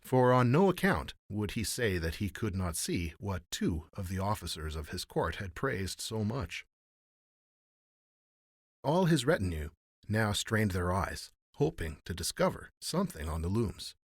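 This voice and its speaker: A man tells an old fairy tale in formal, theatrical narration. His voice sits fairly low but moves with lively expression. The sound is dry, with no room around it. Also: a little slow, breathy edge, dry recording. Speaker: storyteller.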